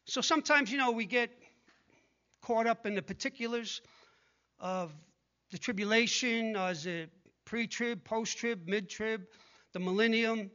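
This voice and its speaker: A man in his sixties preaching a sermon, speaking conversationally and growing hushed when he prays. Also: high voice, unhurried, long pauses, muffled recording. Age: 60s. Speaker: pastor. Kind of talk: sermon with prayer and scripture reading.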